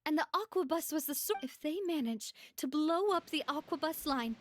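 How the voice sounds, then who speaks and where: A woman's voice: high voice, animated, normal speed. A woman voicing a kind-hearted, resolute young female boss in a video game. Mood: urgent, resolute. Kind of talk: expressive video game voice acting.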